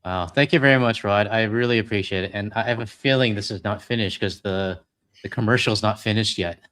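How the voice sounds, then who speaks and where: A middle-aged man with a Canadian accent and a somewhat low voice. He talks in a loose, rambling way, full of fillers and self-deprecating asides, as he co-hosts a live stream. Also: a little fast, a bit bright. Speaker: co-host reading donations. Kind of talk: casual live-stream chat.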